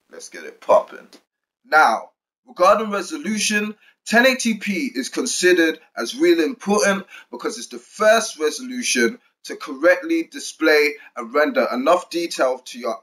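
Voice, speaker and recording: A man's voice: fairly high pitch, a bit bright. A man hosting a gaming channel, giving commentary to viewers in slangy, hip-hop flavoured phrasing. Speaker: YouTube gaming channel host. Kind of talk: video commentary to viewers, part hype greeting, part explainer.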